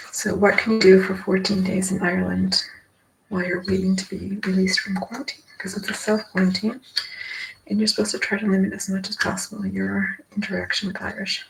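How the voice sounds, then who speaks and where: A woman's voice: medium pitch, level tone, fairly quick, vocal fry. An American woman chatting casually and rambling, asking and answering her own questions as if talking to herself. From United States. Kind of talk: rambling casual solo chat while playing cards. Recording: very noisy recording with clicks.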